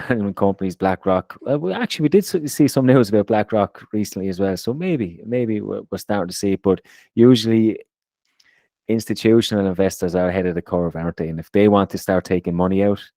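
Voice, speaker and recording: A man's voice: deep voice, mellow, no echo, clean studio sound. A man co-hosting a podcast in a casual chatty way, often repeating words as he talks. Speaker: podcast co-host. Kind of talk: casual chat.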